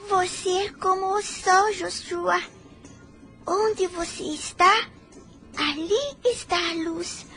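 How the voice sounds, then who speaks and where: Very high-voiced, fragile orphan girl. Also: slow, bright, forceful tone, exaggerated voice. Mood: adoring. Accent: Brazilian. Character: tender, fragile, grateful poor young orphan girl. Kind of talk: radio drama acting. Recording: music under the voice.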